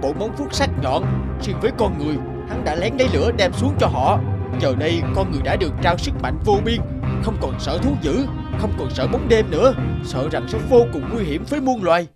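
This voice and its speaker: An imperious, vengeful god, animated pitch. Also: male voice, fairly quick, over loud music. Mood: stern, brooding. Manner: imperious, declamatory. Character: stern, vengeful ruler of the gods, commanding and scheming. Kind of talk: animated fairy-tale dubbing.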